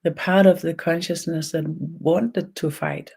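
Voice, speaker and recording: A speaker from Denmark with a Danish accent and a gravelly creak leads a guided energy meditation online, speaking haltingly in short phrases with long pauses. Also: mid-range voice, fairly even intonation, darkish tone. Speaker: spiritual energy healer leading an online session. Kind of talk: slow guided meditation and channeled talk.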